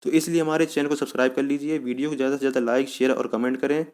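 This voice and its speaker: A man's voice: medium pitch, steady tone, rapid-fire, darkish tone. A man hosting an informational video, narrating an explanation in a methodical, step-by-step way. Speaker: exam-news video host.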